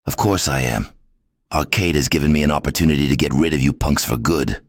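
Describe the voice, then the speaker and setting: A man's voice: extremely low pitch, fairly quick, no echo, clean studio sound. A man voicing a gloating, taunting swordsman villain in a video game. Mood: gloating menace. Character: gloating, menacing male sword-wielding villain.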